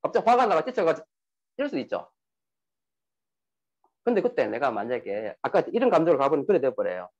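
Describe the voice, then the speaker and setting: Man, lecturing, husky voice, fairly high pitch, dull lo-fi recording. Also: fast talker, long pauses. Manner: lively, rhetorical questions, acts out quoted dialogue. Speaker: speaker giving a talk on sales and emotions. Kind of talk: lecture to an audience.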